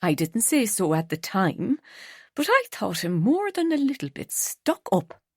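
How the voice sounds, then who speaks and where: A woman's voice: medium pitch, sing-song, a little slow, husky, dry recording, clean studio sound, exaggerated voice. A woman reading an audiobook, voicing an older grandmother who is alarmed and frankly outspoken. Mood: blunt. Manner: alarmed then blunt and frank.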